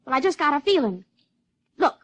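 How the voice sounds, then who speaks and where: A woman's voice: sits high, sing-song, a little fast, background noise. A woman acting in a radio drama as a naive, spirited young country woman, with folksy rural speech. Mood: plain, resigned. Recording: dry, dull radio-drama recording.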